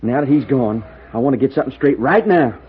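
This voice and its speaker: An actor playing a jealous, blustering young gunslinger with a husky voice in a 1950s radio western. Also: male voice, medium pitch, animated, fast talker, a little hiss or hum, natural voice. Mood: jealous, agitated. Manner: blustering, challenging. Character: hot-headed, jealous young male gunslinger. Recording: tinny, band-limited old radio recording.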